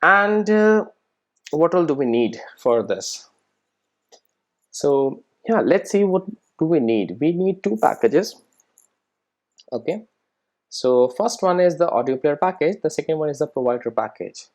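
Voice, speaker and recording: A man's voice: fairly high pitch, lively intonation, a little slow, pauses often. A man presenting an informal screencast coding tutorial, explaining conversationally and often checking in with short tag questions. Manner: conversational, explanatory, often tagging sentences with "right?" and "okay?".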